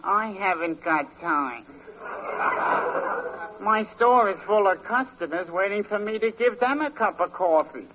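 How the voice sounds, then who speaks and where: A man's voice: high-pitched, lively intonation, a little slow. A man playing a fed-up, peevish shopkeeper in a 1940s radio comedy, complaining with dry sarcasm. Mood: harried, dry. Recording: dull, noisy old radio recording.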